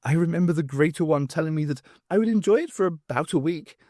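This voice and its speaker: A man voicing an ancient male alien in an audiobook, a wistful rebel poet and lone survivor who tells his tale in an animated, rambling way. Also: on the high side, fast, no echo, clean studio sound, pitched above the performer's own voice.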